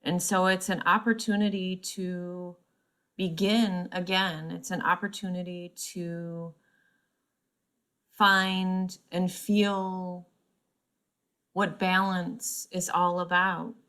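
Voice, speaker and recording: A woman teaching yoga nidra, speaking in measured guided-meditation phrases with frequent pauses mid-sentence. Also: lowish voice, level tone, a bit bright.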